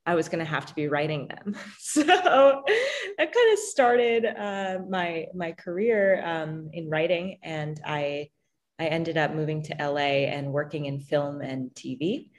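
A woman's voice: deep voice, expressive, a little slow. A woman from New York City, a musical theater writer, chatting casually in an interview and often laughing at herself. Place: home, on a video call.

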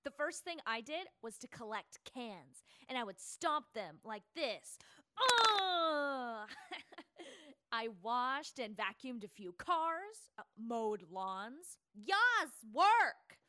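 Woman doing a chatty naive school kid, high bright voice, unhurried, radio drama. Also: heavily acted. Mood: eager, confiding. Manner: expressive, naive, halting. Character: eager, chatty, inquisitive young schoolchild. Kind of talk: audio play acting.

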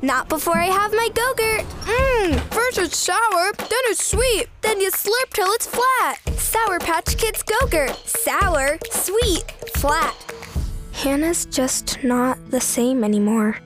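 A young female voice, pitched extremely high, performs commercial reads with an expressive, acted delivery. Music plays lightly behind the voice. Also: slow. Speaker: child voice actor in a demo reel. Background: music bed.

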